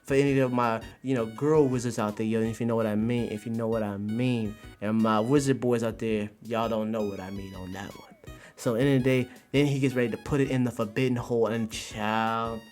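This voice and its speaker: A male streamer doing a casual, slangy livestream review, exclaiming in an animated way and repeating his phrases over and over. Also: medium pitch, a little fast, soft background music. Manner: slangy, informal talk.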